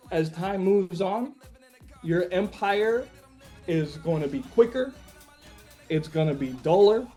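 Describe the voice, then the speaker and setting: Higher-voiced man musing haltingly over music. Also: warm tone. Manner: halting, searching for words, often says like. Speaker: interviewee, musician. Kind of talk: casual, reflective interview chat.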